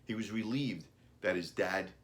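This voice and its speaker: Low-pitched man narrating a storybook. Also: a little slow. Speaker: author reading own book. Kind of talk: scripted children's storybook narration.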